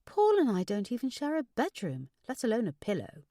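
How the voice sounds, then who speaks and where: A woman's voice: on the high side, huge pitch swings, fairly quick, mellow, no echo, clean studio sound, voice pushed up. A woman voicing a fragile, anxious married woman in an audiobook, hesitant, emotional and vulnerable. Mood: sad, confiding. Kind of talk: audiobook character dialogue.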